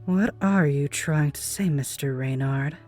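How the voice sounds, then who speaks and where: Older female doctor, acted by a woman, unhurried, polite, guarded, evasive, audio drama, light music. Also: low voice, a little mellow. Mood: composed, evasive. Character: composed, evasive older female scientist, retired superheroine.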